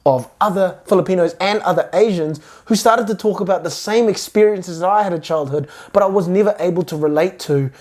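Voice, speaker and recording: A woman's voice: fairly low pitch, a little fast, few pauses, a little mellow. A young woman, co-hosting a podcast and talking candidly and reflectively. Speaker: podcast co-host. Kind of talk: casual conversation.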